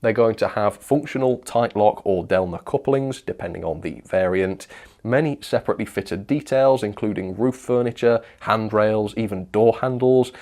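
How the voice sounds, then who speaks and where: A man's voice: lowish voice, moderate pace, a little mellow. A man presenting hobby news in an informal review style, chatty, enthusiastic and ready with his opinions. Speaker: presenter of a model railway news show. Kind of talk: informal product news review to camera or as voice-over.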